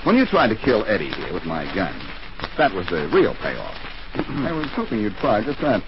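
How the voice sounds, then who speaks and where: A man's voice: lowish voice, huge pitch swings, normal speed. An American man playing a confident, wry amateur detective in 1940s radio drama style. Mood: wry, satisfied. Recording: muffled, noisy 1940s radio recording.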